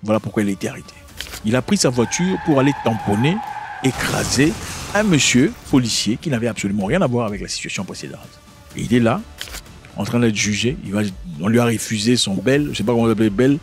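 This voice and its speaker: A man speaking French as a second language talks through a video in a casual commentary style. His voice sits at an ordinary pitch, and he keeps posing rhetorical questions, often stumbling and restarting his sentences. Faint music plays softly behind him. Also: expressive, quick pace. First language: not French.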